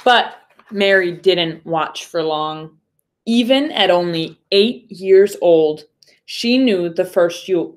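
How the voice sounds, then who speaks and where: A woman, a primary school teacher, speaking deliberately and instructively to young pupils as in a read-aloud storytime. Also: on the low side. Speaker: third grade teacher.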